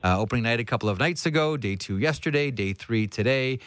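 A man speaks as a sports talk host in a casual, conversational style. His pitch sits in the middle range, and he shares opinions freely. The sound is dry and close, with little room on it. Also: expressive, fast, fairly bright tone, dry recording. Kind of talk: casual hockey talk and interviewing.

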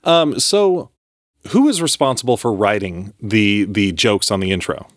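A man's voice: on the low side, expressive, a little slow, no echo, clean studio sound. A man co-hosting a podcast in casual chat, rambling with restarts and casual swearing. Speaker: podcast co-host.

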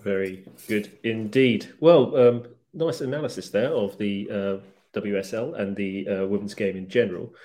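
A man hosting a casual football podcast, speaking in a measured way as he steers the chat and sums up results. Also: lowish voice, dark tone. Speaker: podcast host.

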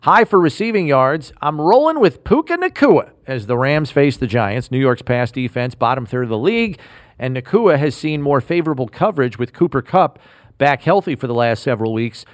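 A man hosting a sports podcast, running a trivia quiz and making picks in an upbeat, animated way. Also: medium pitch, normal speed, no echo, studio quality. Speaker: host.

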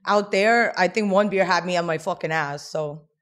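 A woman's voice: fairly low pitch, moderate pace. A woman from Russia, a guest, talking bluntly and frankly in casual slang. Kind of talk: casual chat.

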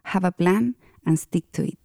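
A woman from Chile giving direct advice in a mellow voice. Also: lowish voice, moderate pace, creaky, no echo, clean studio sound. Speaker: podcast editor and host. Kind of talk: advice, read from a script.

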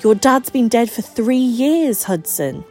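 A woman's voice: fairly high pitch, animated, takes it slowly, a little mellow, soft background music, voice pushed up. A woman voicing a blunt, teasing, bossy female co-worker in an audiobook story, speaking frankly and emphatically. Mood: stern.

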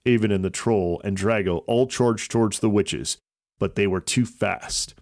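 A man's voice: on the low side, a little slow, darkish tone, dry recording, clean studio sound. A man narrating a scripted children's story as a podcast host.

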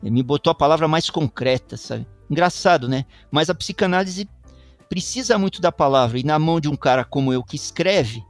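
Reflective Paulistano man, unhurried musing. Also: fairly high pitch, animated, soft background music. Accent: Paulistano Brazilian Portuguese. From São Paulo, Brazil. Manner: thoughtful, meandering, many restarts and tag questions. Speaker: guest musician. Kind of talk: reflective interview talk.

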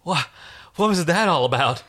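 A man's voice: fairly high pitch, animated, normal speed, a bit bright, husky, no room sound, voice pushed up. A man playing an indignant, incredulous male space adventurer with wounded pride.

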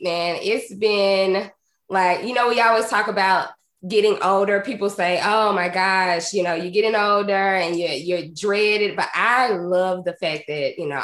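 A woman's voice: medium pitch, echoey room. A woman in her thirties hosting a podcast, upbeat and chatty, sounding a little nasal from congestion. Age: 30s. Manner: upbeat, energetic, laughs often. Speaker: podcast host. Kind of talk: casual conversational interview.

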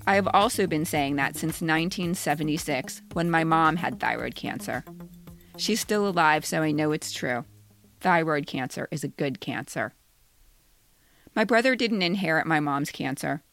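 A woman in her fifties, a podcast essayist, reading her own personal story with deadpan humor. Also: low-pitched, normal speed, soft background music. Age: 50s.

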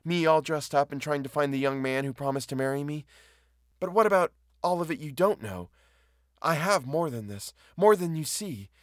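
A man's voice: a little high, lively intonation, moderate pace, no room sound, studio quality, voice pushed up, close to an everyday voice. A man reading a story as an anxious, proud young woman, pleading and hesitant in manner. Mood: wounded pride. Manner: pleading, hesitant, breaking off mid-sentence. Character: anxious, proud jilted young woman on her wedding day.

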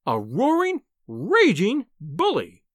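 A man voicing a small, furious, scolding wife in an audiobook, shrill and wailing with outrage. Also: wildly swooping pitch, moderate pace, a bit bright, no room sound, clean studio sound, exaggerated voice. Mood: outraged, scolding. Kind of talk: audiobook character voice.

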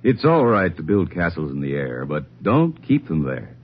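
A man's voice: low-pitched, wildly swooping pitch, normal speed. A man reading a persuasive, earnest 1950s radio savings ad. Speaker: announcer. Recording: dull old radio broadcast.

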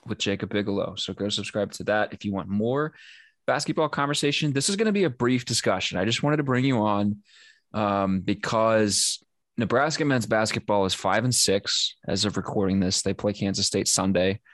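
A man's voice: fairly low pitch, moderate pace, a bit bright, dry recording. A man hosting a sports podcast, talking in a loose, conversational way with frequent fillers and restarts. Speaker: podcast host. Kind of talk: casual sports podcast chat.

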